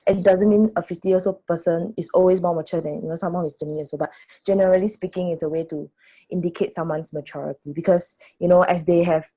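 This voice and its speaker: A young Singaporean woman speaks in a fairly low, mellow voice. She sets out her opinions in a reasoned, articulate way, as part of a conversational panel. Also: fairly even intonation, fast, breathy edge, poor audio. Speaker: first-time voter on a discussion panel. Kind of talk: conversational group discussion.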